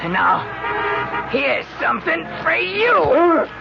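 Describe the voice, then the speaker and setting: An actor playing a menacing, gravelly street mugger who barks curt orders in an old radio drama. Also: male voice, very high voice, sing-song, slow pace, full character voice. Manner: barking short orders. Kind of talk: vintage radio drama acting. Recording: dull old radio recording, loud music.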